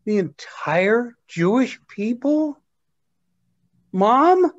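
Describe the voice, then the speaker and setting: A man acting as a doubtful teenage boy with a very animated, swinging pitch. He asks things hesitantly in a staged read-through dialogue, and the sound is dull, like a lo-fi video call. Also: very slow, full character voice. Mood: incredulous, wry. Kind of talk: amateur reader's theatre dialogue over video call.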